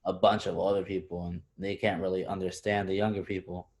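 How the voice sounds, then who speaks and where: A man's voice: deep voice, level tone, fairly quick, darkish tone. A man interviewing a guest, asking questions in a casual, somewhat hesitant way.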